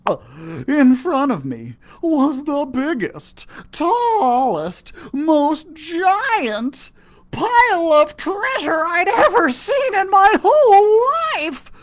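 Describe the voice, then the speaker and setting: A man's voice: very high-pitched, wildly swooping pitch, drawn-out words. A man reading a children's story aloud, playfully talking like a pirate. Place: library video recording.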